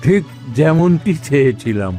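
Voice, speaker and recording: A man's voice: on the high side, big pitch swings, measured pace, mellow. A man playing an eager, affectionate young son-in-law in a cartoon family story, with music behind him. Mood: pleasing, affectionate.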